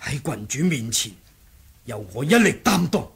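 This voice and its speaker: An actor playing a cunning, composed martial monk in a 1980s radio drama, husky and deliberate in his sly bargaining. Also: mid-range voice, very animated, a bit bright, exaggerated voice. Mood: earnest bargaining. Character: cunning martial monk who feigned muteness, scheming and composed.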